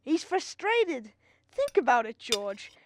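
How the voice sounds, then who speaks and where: A woman's voice: sits high, big pitch swings, takes it slowly, slight background noise, exaggerated voice. A young female performer playing a weary, kindly middle-aged father in a radio drama.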